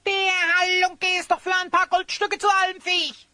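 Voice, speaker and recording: Squeaky, cheeky little sidekick. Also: female voice, level tone, normal speed, pushed and bright, no room sound. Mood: pert. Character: small, cheeky, excitable sidekick of the hero. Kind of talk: German children's audio drama acting.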